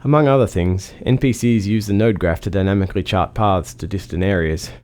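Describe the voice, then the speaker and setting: Lowish-pitched man explaining technical details. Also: a little fast, darkish tone. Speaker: game developer. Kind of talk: scripted technical commentary.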